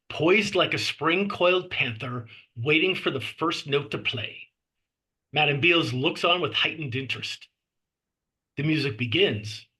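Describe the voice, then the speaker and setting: A man's voice: a little high, sharp tone, poor audio. A man reading stage directions as narrator at a script table read.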